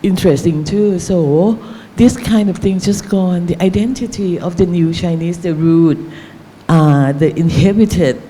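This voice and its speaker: Slow, mellow-voiced Thai woman. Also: lowish voice, slight background noise, poor audio. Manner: halting, searching for words, many fillers. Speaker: novelist on a panel. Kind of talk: panel discussion answer.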